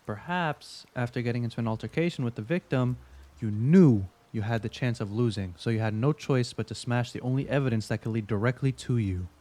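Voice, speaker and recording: A man's voice: mid-range voice, warm tone, close to an everyday voice. Man acting a smug, needling investigator. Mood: probing, accusing. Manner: wry, needling, deliberate. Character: smug, probing male veteran investigator interrogating suspects. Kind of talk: audio drama acting.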